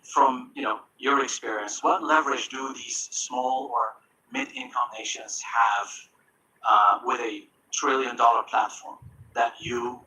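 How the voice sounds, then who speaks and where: A man's voice: medium pitch, pushed and bright, slight background noise, low-quality recording. A man moderating a conference panel, joking lightly and asking long, hesitant questions full of fillers. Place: conference stage.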